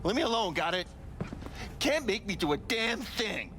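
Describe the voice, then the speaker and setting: A man's voice: high-pitched, very animated, moderate pace, sharp tone, slight background noise, heavily acted. An actor playing a cowardly, foul-mouthed male shopkeeper and informant in a video game, agitated and defensive. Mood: panicked, defiant.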